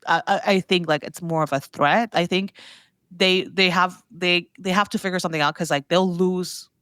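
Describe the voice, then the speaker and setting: A woman speaking as a guest commentator in a casual podcast discussion, halting and leaning heavily on "like" as she talks. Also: fairly low pitch, steady tone, a bit bright, clear, resonant tone, no echo, clean studio sound. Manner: halting, heavy use of "like". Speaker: guest commentator on grocery and consumer brands.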